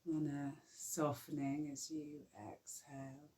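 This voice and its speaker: Low mellow lady voice, slow calm yoga nidra guidance, lots of pauses. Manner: slow, soft, soothing guidance with long pauses. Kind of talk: guided relaxation meditation.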